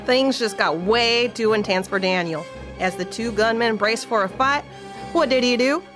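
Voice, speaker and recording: A woman's voice: a little high, normal speed, over music, natural voice. A woman playing a folksy, wry storyteller, narrating a scripted Wild West audio play.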